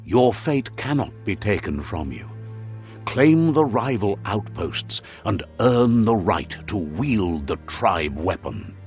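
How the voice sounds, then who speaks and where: A middle-aged man giving calm, scripted storybook narration for a game, relaying what the characters say. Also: fairly low pitch, takes it slowly. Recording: studio voice-over with soft game music.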